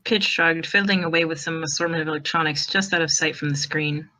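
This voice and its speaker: A woman narrating a fan fiction story in an expressive reading style. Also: sits low, a little fast, low-quality recording.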